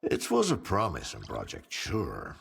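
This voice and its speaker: An actor playing a boozy, sardonic older scientist in a video game, theatrical and philosophising, with a husky, gravelly voice. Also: male voice, a bass voice, huge pitch swings, unhurried, big-room sound, heavily acted. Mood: teasing, dismissive. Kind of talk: video game cutscene acting.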